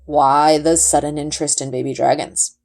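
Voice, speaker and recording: A woman voicing a calm father in a storybook, speaking in a measured, gently admonishing manner. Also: low voice, creaky, voice pushed down. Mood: calm, cautioning.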